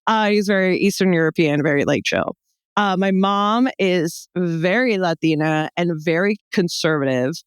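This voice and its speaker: A young American woman with a slightly low, clean voice, recorded close and dry. She talks like a podcast guest in casual chat, animated and joking as she spins anecdotes and slips into quoted dialogue. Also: normal speed, smooth, dry recording. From Texas, United States. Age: young adult. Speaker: podcast guest, comedy show founder.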